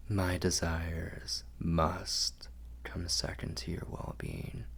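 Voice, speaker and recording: A man playing a protective, doting vampire lord in an intimate ASMR roleplay, with courtly phrasing and a breathy, gravelly, soothing voice. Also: low-pitched, level tone, slow, fairly bright tone, exaggerated voice. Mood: adoring, hungry.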